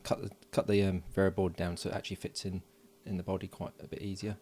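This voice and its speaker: A middle-aged man narrating his electronics build at a home workbench, relaxed and filling pauses with ums and uhs. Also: sits low, slightly rough. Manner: relaxed, thinking aloud with many fillers. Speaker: electronics hobbyist.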